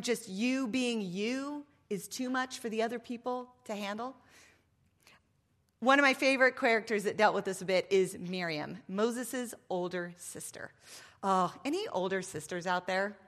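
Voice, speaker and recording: A woman's voice: fairly high pitch, normal speed, a bit bright. A woman in her fifties giving a faith talk to a live audience with a microphone, emphatic and playful, full of asides. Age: 50s. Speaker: speaker at a women's event. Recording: long reverb of a large hall.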